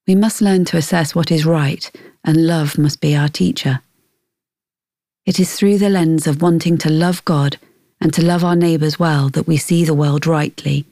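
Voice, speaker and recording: Woman, low-pitched, mellow tone, scripted devotional narration, measured delivery. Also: vocal fry, no echo, studio quality. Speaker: podcast host and devotional reader.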